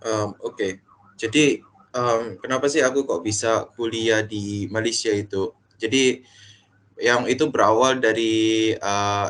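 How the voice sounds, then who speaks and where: Young man, hesitant, lowish voice. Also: a bit bright. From East Java, Indonesia. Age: young adult. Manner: hesitant, many fillers and restarts. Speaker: medical student guest. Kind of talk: casual interview.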